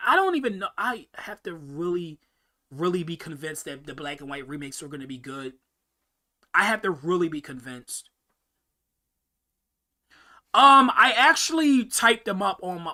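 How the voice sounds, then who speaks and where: A man's voice: high-pitched, sharp tone. A young man hosting a casual live-stream Q&A, answering viewer questions in a rambling way, often repeating words and restarting sentences.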